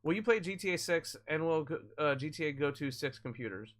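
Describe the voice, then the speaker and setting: A man live-streaming a game, with a voice a little higher than most men's. He explains tech questions to viewers in a loose, rambling way, often restarting mid-sentence and stacking fillers. His tone is laid-back and chatty. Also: expressive, brisk, a bit bright. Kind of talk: casual live-stream chat answering viewers.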